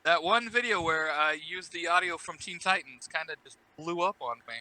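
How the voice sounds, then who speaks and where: A man's voice: a little high, normal speed, bright, forceful tone, rough recording. A man talking as a guest on a podcast in a casual, conversational style. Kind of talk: casual chat.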